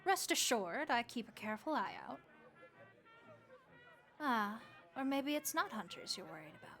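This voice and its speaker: A woman voicing a friendly, motherly vampire innkeeper who speaks gently and reassuringly to the listener in an audio roleplay. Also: high voice, lively intonation, normal speed, soft background music.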